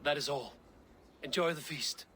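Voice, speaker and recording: A man playing a calm, decisive Viking warrior in a video game, speaking in a measured, purposeful way. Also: on the high side, a little hiss or hum. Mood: guarded, courteous. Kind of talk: video game character acting.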